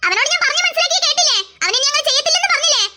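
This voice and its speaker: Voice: very high-pitched, expressive, racing. Performer doing older sister, bossy, teasing, heavy noise behind. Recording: loud background noise.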